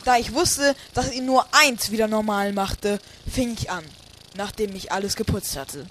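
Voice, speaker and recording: Male storyteller for comic audio drama, lighter high register, scripted first-person narration, clear background noise. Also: moderate pace, a bit bright. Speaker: narrator and lead character. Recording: clearly noisy homemade recording.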